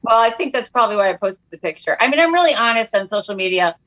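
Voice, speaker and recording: Funny lady guest on a podcast, bright punchy voice, kinda high, jokes at herself a lot. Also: fast talker, dull audio. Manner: animated, joking, self-mocking. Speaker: comedian guest. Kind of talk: casual podcast chat.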